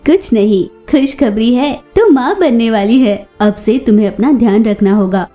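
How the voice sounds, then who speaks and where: A woman's voice: mid-range voice, warm tone, close to an everyday voice. A woman voicing a bold, protective young female bird in a cartoon story, outspoken in manner. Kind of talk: Hindi children's cartoon story acting.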